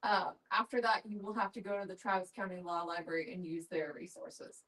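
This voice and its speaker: A woman's voice: lowish voice, fairly quick, fairly bright tone. Female, informative and practical group speaker, emphatic on details, picked up from a distance. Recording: distant mic in group discussion.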